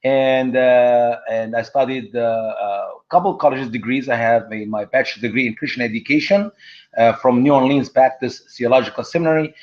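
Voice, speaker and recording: A man from Egypt, a guest on an online talk show, telling stories in an animated, conversational way. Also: mid-range voice, normal speed, dull audio. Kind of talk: conversational storytelling.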